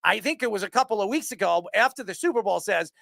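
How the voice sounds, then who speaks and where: Creaky-voiced, emphatic sports talk host. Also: mid-range voice, lively intonation, quick pace, a bit bright, no echo, clean studio sound. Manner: emphatic, declamatory. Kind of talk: opinionated sports commentary.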